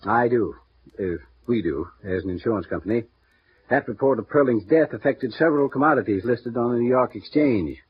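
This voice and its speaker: An actor playing a smooth, guarded male insurance executive who speaks in measured, confiding tones, in a 1950s radio drama. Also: medium pitch, animated, no room sound. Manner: measured, confiding, halting with small pauses. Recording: muffled vintage radio broadcast with faint hiss.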